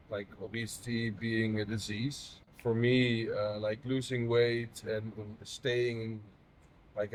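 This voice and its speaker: Man, 30s, from the Netherlands, halting, open, personal conversation. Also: medium pitch, darkish tone, poor audio. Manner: halting, many fillers and restarts. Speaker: patient advocate interviewer.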